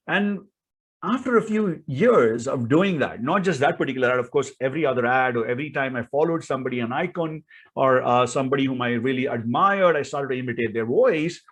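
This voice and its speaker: A man's voice: medium pitch, lively intonation, a little fast, a bit bright, husky. A man with an Indian accent giving an instructional talk as a speaking coach, in an emphatic, theatrical way full of rhetorical questions.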